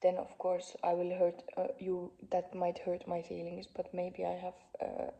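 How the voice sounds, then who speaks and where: Slow, gravelly Finnish-accented young woman. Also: lowish voice, completely flat delivery, dull audio. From Finland. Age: 20s. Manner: soft-spoken, halting, frequent fillers. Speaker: vlogger. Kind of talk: unscripted casual monologue. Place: parents' living room couch.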